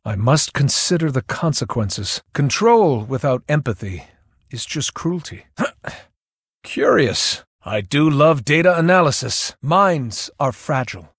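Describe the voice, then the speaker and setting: A man's voice: on the high side, slightly rough, dry recording, studio quality, heavily acted. A young man playing a thoughtful, cerebral young mage in a video game, earnest and self-doubting, with an introspective, wry delivery. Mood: curious, delighted. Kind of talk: video game character voice lines.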